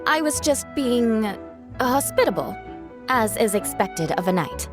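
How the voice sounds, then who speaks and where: A woman's voice: on the high side, very animated, unhurried, music in the background. A woman playing a reserved, dutiful and slightly awkward knight who speaks formally and carefully in a video game cutscene. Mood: guarded.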